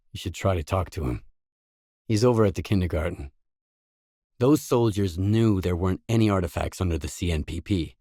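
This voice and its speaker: A man playing a gruff, pragmatic stalker mercenary in a video game, speaking in a terse, matter-of-fact way. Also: low-pitched, darkish tone, no echo, clean studio sound. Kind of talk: video game dialogue acting.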